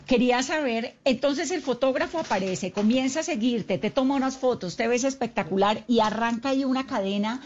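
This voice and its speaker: A woman's voice: fairly high pitch, brisk, hardly any pauses, darkish tone. Woman host asking questions, agreeing a lot, dull audio with a bit of hiss. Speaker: interviewer, host. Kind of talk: interview questions.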